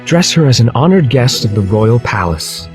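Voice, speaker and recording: A young prince of gallant, kindly temper, acted by a man, speaking in a medium-pitched male voice with courtly gentleness. It is storybook acting with light music underneath. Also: big pitch swings, moderate pace, natural voice. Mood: commanding.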